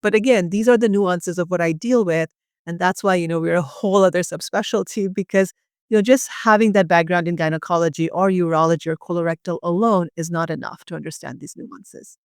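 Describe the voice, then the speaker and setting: A woman's voice: fairly low pitch, a little fast, smooth, no echo. Woman doctor from bangladesh, earnest and passionate, talks it through with lots of you knows, dry close sound. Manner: earnest, passionate, many fillers. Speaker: urogynecologist guest. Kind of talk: conversational medical explanation.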